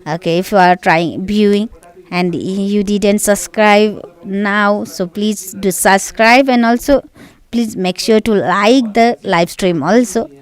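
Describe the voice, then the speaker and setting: A woman with a Nepali accent, a native Nepali speaker, giving live esports commentary in halting English with frequent fillers. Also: mid-range voice. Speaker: esports caster.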